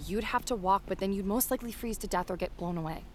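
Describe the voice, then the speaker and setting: A woman acting in an audio drama as a plucky, curious investigator with a brisk, matter-of-fact manner. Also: mid-range voice, natural voice. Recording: loud ambience and noise behind.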